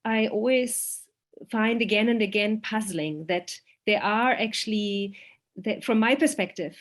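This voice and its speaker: A woman speaking as a guest academic in a virtual forum. She hesitates often, restarting and repeating words as she thinks aloud. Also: a little high. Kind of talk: spoken academic talk answering questions. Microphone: video call.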